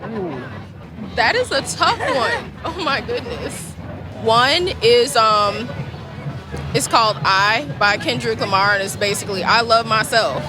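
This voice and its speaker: A woman's voice: a little high, lively intonation, measured pace, music in the background. A woman from Chicago, reflective and thoughtful, interviewed outdoors. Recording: noisy outdoor crowd.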